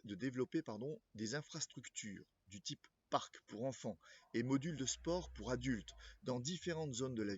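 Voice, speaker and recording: A man with a husky, mid-pitched voice delivers a scripted political address as a local candidate. His delivery is formal and measured, with an occasional stumble over a word. The recording is dull and lo-fi, like a homemade video. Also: dry recording.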